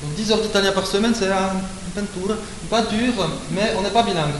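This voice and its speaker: A man's voice: high voice, brisk. A man from Switzerland giving an academic lecture, explaining points with frequent hein and euh and correcting himself as he goes. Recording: echoey room with faint hiss.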